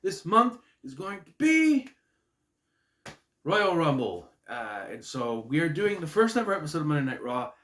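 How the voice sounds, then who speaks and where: A man talks casually to camera from his room at home, a little nasal from a cold he is still shaking. His pitch swings up and down as he rambles and corrects himself. The room gives his voice a noticeable echo. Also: normal speed. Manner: chatty, self-interrupting, lively pitch swings. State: getting over a cold, slightly nasal, clearing throat. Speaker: host of a wrestling review channel. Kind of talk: casual rambling vlog intro. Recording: echoey room.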